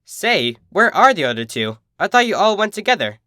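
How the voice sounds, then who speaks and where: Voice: medium pitch, big pitch swings, normal speed, sharp tone, no echo, studio quality, pitched above the performer's own voice. A narrator voicing a brash, protective big-sister pegasus mare from a cartoon, with a cocky, slangy, insistent delivery. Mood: brash, concerned.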